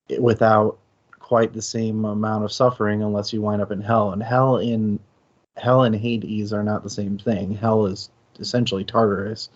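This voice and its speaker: Deep, even-toned man, slow and conversational. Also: dull audio. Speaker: book discussion host. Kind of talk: casual book discussion.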